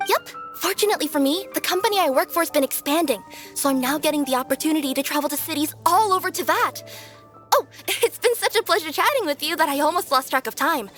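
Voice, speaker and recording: A cheerful young cat yokai courier with a very high voice and lively swoops. She is breezy and playful, in game dialogue with faint music behind. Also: fast talker. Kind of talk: video game character dialogue.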